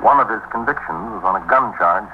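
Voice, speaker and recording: A man plays an urgent narcotics detective from a 1950s radio police drama. His low-set voice races along, respectful toward his superiors. The sound is thin and phone-like, with clear hiss and noise over it. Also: expressive, natural voice. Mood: earnest, hurried. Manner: hurried, deferential to superiors. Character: urgent, deferential male narcotics detective chasing a suspect. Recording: tinny, noisy 1950s radio recording.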